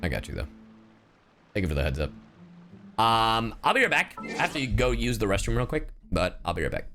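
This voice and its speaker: A man live streaming a game, chatting casually in a slangy, sweary, expressive and excitable way. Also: on the low side, a little fast, faint music behind. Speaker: livestreamer.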